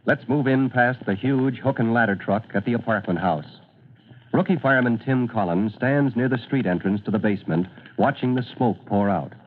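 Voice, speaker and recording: Husky old-radio announcer, tinny and dramatic. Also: male voice, medium pitch, normal speed. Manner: grave, theatrical, emphatic. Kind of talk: 1940s-style dramatic radio narration. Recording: tinny vintage radio recording.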